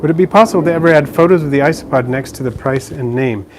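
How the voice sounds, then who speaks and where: A man's voice: a little high, fast talker, slight background noise. A man casually answering viewer questions on a live stream, chatty and easygoing, with plenty of fillers and restarts, filming indoors by a terrarium. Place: indoors beside a frog terrarium.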